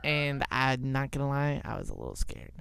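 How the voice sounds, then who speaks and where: A young man telling an improvised story in a halting, informal way. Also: mid-range voice, huge pitch swings, vocal fry, a bit bright, smooth. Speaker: storyteller, show host.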